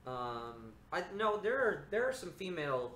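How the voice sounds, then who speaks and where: A man's voice: a little high, big pitch swings, moderate pace, fairly bright tone, a little hiss or hum. A man voice actor hosting a casual livestream chat, easygoing and laughing often as he thinks aloud. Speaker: voice actor and stream host. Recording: roomy echo, faint ambience.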